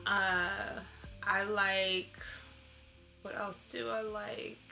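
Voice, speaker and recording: A woman's voice: mid-range voice, flat delivery, extremely slow, creaky. A woman speaking informally and reflectively in a casual interview as a visual artist, often saying "like". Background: instrumental lo-fi music.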